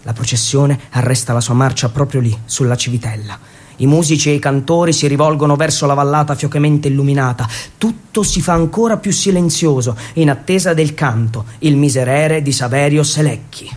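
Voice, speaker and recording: Solemn male theatrical narrator, slightly husky. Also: fairly high pitch, darkish tone. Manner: solemn, measured, declamatory. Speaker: actor and narrator. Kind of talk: scripted theatrical storytelling.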